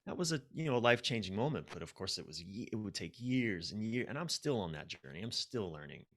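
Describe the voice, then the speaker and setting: A man's voice: fairly low pitch, big pitch swings, fast talker, a bit bright. A man speaking as a podcast guest, telling personal stories candidly and self-deprecatingly, acting out his inner dialogue. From United States. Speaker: podcast guest, relationship coach. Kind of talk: personal storytelling in a conversational interview.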